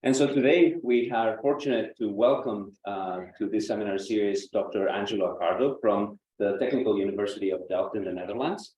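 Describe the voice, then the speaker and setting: A man who is a professor, hosting a seminar and giving a formal introduction, measured, with filler sounds. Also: lowish voice, darkish tone. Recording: distant mic in an echoey room with faint noise.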